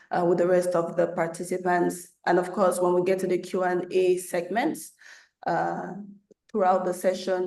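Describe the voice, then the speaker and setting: A woman organizing an online meeting, giving steady housekeeping announcements with frequent fillers. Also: lowish voice, echoey room.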